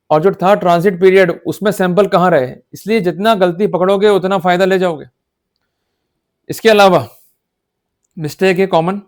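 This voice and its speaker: A man with an Indian accent giving an explanatory law lecture in a didactic, emphatic manner, often checking that listeners follow. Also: high voice. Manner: didactic, emphatic, checks understanding with repeated short questions. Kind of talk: explanatory lecture in Hindi mixed with English legal terms.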